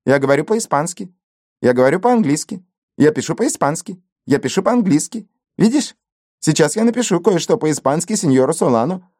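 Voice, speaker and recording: A man's voice: fairly high pitch, a little mellow, no echo, studio quality, pitched above the performer's own voice. A man voicing a boastful, sly and sugary middle-aged plump man who brags in short repeated phrases. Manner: bragging, wheedling, repetitive.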